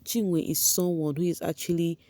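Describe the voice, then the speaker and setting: Low-voiced woman gossiping breezily. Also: fairly even intonation, normal speed, dark tone, no room sound. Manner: breezy, gossipy, with slangy asides and "you know" fillers. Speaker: entertainment vlogger. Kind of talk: chatty gossip recap to camera.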